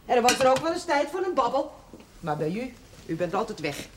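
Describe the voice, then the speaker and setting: An actress playing a nosy, scolding middle-aged housekeeper to a priest in a 1970s TV drama. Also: female voice, a little high, sing-song, a little fast. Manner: reproachful, gossipy. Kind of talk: 1970s television drama acting. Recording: noisy TV soundtrack.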